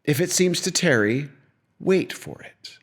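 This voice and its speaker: A man reading scripture aloud as an audio Bible narrator, with solemn, deliberate phrasing and pauses. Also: a little high, animated.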